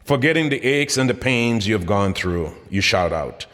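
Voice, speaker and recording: A man's voice: fairly low pitch, expressive, moderate pace, a bit bright. A man reading his own motivational book aloud as scripted narration, with dramatic, emphatic delivery. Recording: long hall-like reverb.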